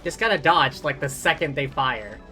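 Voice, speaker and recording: Bright-voiced game streamer thinking aloud. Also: medium pitch, lively intonation, moderate pace, faint music behind. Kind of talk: casual live gameplay commentary, reading dialogue options aloud.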